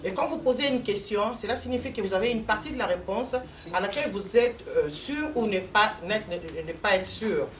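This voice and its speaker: A woman's voice: medium pitch, animated, brisk, few pauses. A woman from Congo, an invited speaker, giving a methodical, explanatory talk at a community meeting. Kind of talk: measured public address. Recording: distant mic, faint room noise.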